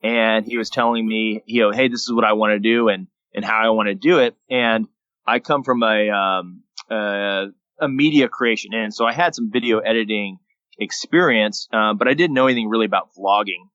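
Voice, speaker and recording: A man in his thirties from Texas, a guest telling his story in a relaxed, rambling way on a podcast. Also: on the low side, moderate pace, no room sound. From San Antonio, Texas, USA. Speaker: guest, daily vlogger and entrepreneur. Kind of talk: casual podcast interview. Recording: dull lo-fi dry sound.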